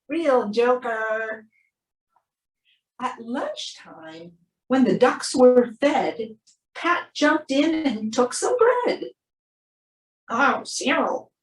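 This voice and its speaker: A woman reading aloud to children in an expressive storybook style, drawing out key words for emphasis. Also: a little high. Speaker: animal shelter presenter reading a picture book. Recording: roomy, distant microphone.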